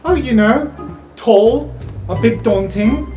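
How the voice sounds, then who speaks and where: A woman's voice: a little high, takes it slowly, warm tone, soft background music, pitched above the performer's own voice. A woman voicing a flustered, insistent young male rabbit-folk messenger who stammers earnestly in a tabletop game. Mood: flustered, pleading.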